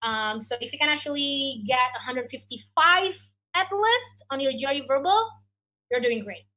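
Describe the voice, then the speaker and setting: A woman with an Indonesian accent explains admissions questions to an online audience, her voice bright and fairly high. She talks in a chatty, explanatory way, full of "you know" and "like", and the sound comes through a video call. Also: animated, moderate pace. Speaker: education adviser.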